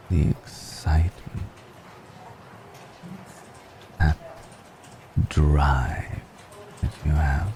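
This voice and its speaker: An ancient, seductive vampire noble, acted out by a man, with an extremely low, very dark and velvety voice. He talks very slowly and formally, lingering over his pauses in a close, intimate ASMR roleplay. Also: a little hiss or hum, exaggerated voice, rough recording. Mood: seductive, teasing. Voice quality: very deep, gravelly. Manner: slow, deliberate, formal wording with long pauses. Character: ancient, suave, seductive male vampire noble, affectionately amused.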